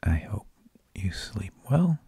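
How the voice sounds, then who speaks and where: A man whispering an ASMR narration in a hushed, breathy, gravelly voice, unhurried, with drawn-out phrases and pauses, close to the microphone. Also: sits low, very animated, no echo. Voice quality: breathy, mostly unvoiced whisper with gravelly creak. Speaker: ASMR host.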